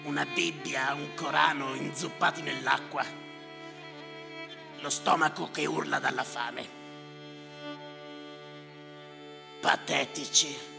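An actor playing a bitter, cynical clerk who grumbles and declaims to himself in a raspy voice, in a theatrical monologue. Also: male voice, fairly high pitch, fairly even intonation, a little slow. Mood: brooding, scornful. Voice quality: raspy, gravelly. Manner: muttering to himself, sardonic, declamatory, repeating words obsessively. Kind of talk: theatrical stage monologue with live music. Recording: reverberant hall, music behind voice. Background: music.

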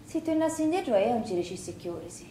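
Bitter Sicilian widow, echoey room. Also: female voice, on the high side, big pitch swings, brisk, warm tone, slight background noise. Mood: bitter, defiant. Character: bitter, weary, defiant Sicilian widow at a wake. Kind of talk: stage drama acting in dialect.

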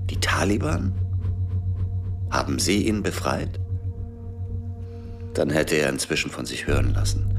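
An actor playing an agitated, suspicious man in a German radio drama, brooding in broken phrases and pressing rhetorical questions. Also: a bass voice. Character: agitated, suspicious man piecing together a cover-up.

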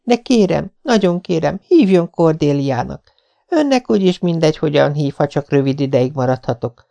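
A man's voice: fairly high pitch, very animated, gravelly, mellow. A man reading an audiobook voices a dreamy, dramatic young orphan girl with a theatrical, pleading manner.

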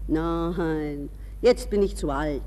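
Woman acting gallant ageing gentleman, reverberant. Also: sits low, slow, pitched below the performer's own voice. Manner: formal, gallant, self-deprecating. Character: courteous, flirtatious ageing gentleman at a resort. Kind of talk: radio drama dialogue.

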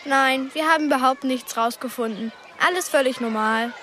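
A woman's voice: sits high, moderate pace, fairly bright tone, a little hiss or hum. An actress playing an earnest, curious but guarded thirteen-year-old girl in a radio drama. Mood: evasive.

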